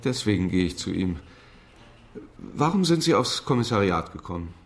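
An actor playing a dry, laconic police commissioner in a radio crime drama, terse and understated. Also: male voice, deep voice, very animated, normal speed, gravelly, heavily acted.

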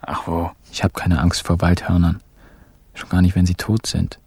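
A man's voice: deep voice, completely flat delivery, normal speed, darkish tone, breathy edge. An actor playing a wry, irreverent man who tells a crime story in colloquial present tense for a radio play. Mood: relaxed. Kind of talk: colloquial first-person radio drama narration in present tense.

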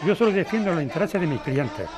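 A man's voice: on the high side, big pitch swings, fast talker, crowd noise. An actor playing a stubborn middle-aged corporate lawyer and father in an audio drama, defensive, impatient and condescending.

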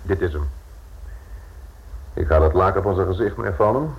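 Police inspector, Netherlands Dutch, stern, sardonic, formal, husky, radio drama, narrow muffled old recording. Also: male voice, low-pitched, animated, moderate pace, exaggerated voice. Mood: polite, firm. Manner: formal, commanding, faintly sarcastic.

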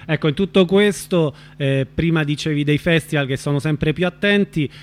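Man, somewhat high pitch, live radio host, informal delivery, loose phrasing, hesitations, announcing and interviewing. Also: moderate pace.

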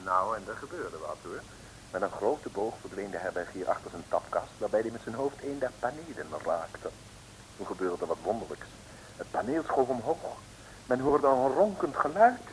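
An actor as the wry, chatty narrator of a 1950s Dutch radio adventure serial. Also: male voice, lowish voice, sing-song, normal speed, rough recording. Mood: suspenseful. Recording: hissy old radio recording.

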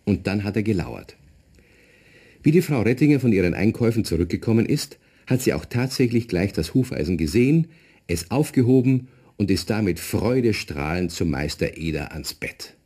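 Low, husky, animated children's storyteller. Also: male voice, moderate pace, heavily acted. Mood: storytelling. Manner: conversational, addresses young listeners. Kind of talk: children's radio play narration.

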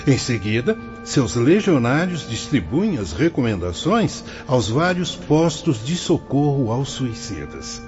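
A man acting as a wise, fatherly spirit guide in a radio drama, patient and solemn, explaining in formal, measured phrases. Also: mid-range voice, darkish tone. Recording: dull lo-fi audio with music behind.

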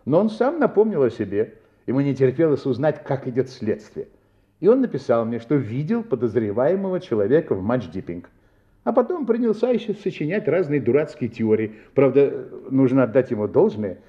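An actor playing a plain-spoken, assured police inspector in an audio drama, talking in a wry, emphatic, conversational way. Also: male voice, mid-range voice, big pitch swings, a little fast, close to an everyday voice. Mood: measured recounting. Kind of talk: audio drama acting, recounting a case. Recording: slightly dull audio with music.

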